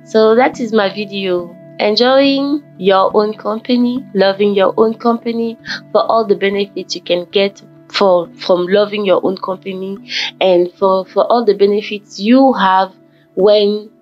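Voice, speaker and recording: A woman from Ghana talking casually in a motivational vlog, with a croaky just-woken voice and a rambling manner that repeats and corrects itself. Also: medium pitch, measured pace. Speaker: vlogger. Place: forest fitness trail outdoors. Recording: dull lo-fi audio with faint background music.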